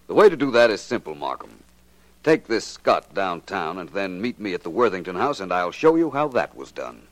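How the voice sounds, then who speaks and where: Sharp sleuth in an old radio mystery, sure of himself, voice on the low side and really swoops around. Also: male voice, sing-song, normal speed, dry recording, low-quality recording. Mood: confident, explaining. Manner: assured, explanatory. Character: confident, clever male detective explaining a murder. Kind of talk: 1940s radio acting.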